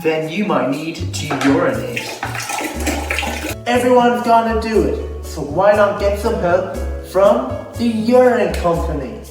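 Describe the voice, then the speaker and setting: A man playing a pushy, over-excited pitchman in a parody TV advert, with dramatic delivery in an echoey hall. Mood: persuasive. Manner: dramatic, swinging from measured to shouting. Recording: echoey hall, distant, music behind.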